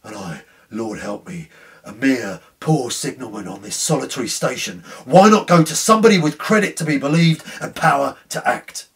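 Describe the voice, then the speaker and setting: A man's voice: mid-range voice, lively intonation, moderate pace, a bit bright. A man voicing a tormented, fearful railway signalman in a dramatic story reading, earnest and anguished. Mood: desperate pleading. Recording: slightly distant room microphone.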